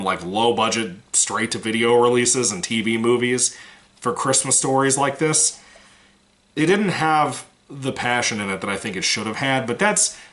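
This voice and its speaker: A man's voice: mid-range voice, a bit bright. A man giving a casual, rambling movie review, frank and swearing now and then, his voice still recovering from a cold.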